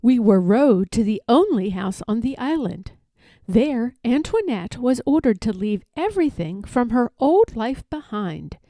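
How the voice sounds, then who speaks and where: Slow, lively woman reading a storybook. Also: on the high side, no room sound. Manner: deliberate, animated storytelling. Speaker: read-aloud storyteller. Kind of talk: expressive children's picture book narration.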